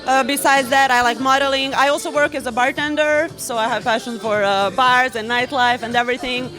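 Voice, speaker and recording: A woman's voice: high-pitched, steady tone, fairly quick, sharp tone, faint music behind, some chatter behind, rough recording. A woman from Serbia, a model and business student, answering interview questions in an upbeat, chatty way. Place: rooftop event.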